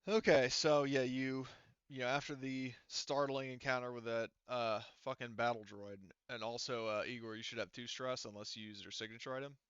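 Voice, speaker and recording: A man's voice: medium pitch, steady tone, normal speed, fairly bright tone, clean tone, no room sound, dull audio. A man acting as game master, casually narrating a tabletop role-playing game in an informal way.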